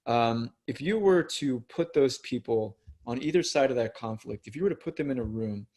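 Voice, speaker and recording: Podcast guest from the US, male, earnest and thinking aloud, mid-pitched voice. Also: animated, normal speed, a little mellow. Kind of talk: casual conversational interview.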